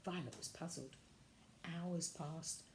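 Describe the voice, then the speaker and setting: A woman reading a children's story aloud, with deliberate, expressive narration. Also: low-pitched, heavy background noise.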